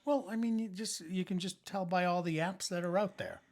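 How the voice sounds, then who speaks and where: A man's voice: high voice, a little fast. A young man in his twenties co-hosting a casual podcast, making wry, joking asides and often restarting his sentences. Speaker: podcast co-host.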